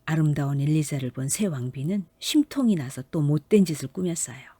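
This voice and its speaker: Female children's storyteller: warm, mellow timbre, lowish pitch, expressive careful reading. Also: husky, no echo. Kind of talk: children's fairy tale narration.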